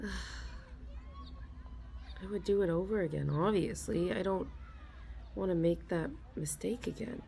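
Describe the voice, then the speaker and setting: A young woman in a soft ASMR role-play, confiding hesitantly as an anxious office worker, sighing and stopping to restart her sentences. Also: on the low side, gravelly, slight background noise. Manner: hesitant and self-deprecating, with frequent sighs and halting restarts.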